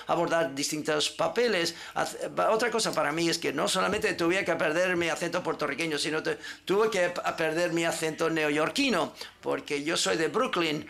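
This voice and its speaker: New York woman, gravelly, storytelling. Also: low voice. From United States. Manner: lively storytelling with frequent restarts. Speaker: actress. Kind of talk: press conference answer.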